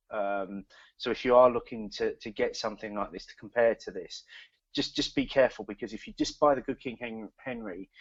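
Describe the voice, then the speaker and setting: A man's voice: lowish voice, no echo. A man co-hosting a beer podcast, rambling casually with lots of ums and restarts. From East Anglia, England. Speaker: podcast co-host. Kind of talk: casual beer review chat. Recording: dry dull lo-fi podcast recording.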